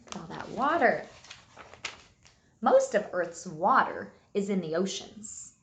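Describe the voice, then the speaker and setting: A woman teacher reading aloud and giving a simple lesson to young children, enunciating key words deliberately. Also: mid-range voice, very animated. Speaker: early elementary school teacher. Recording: echoey room sound.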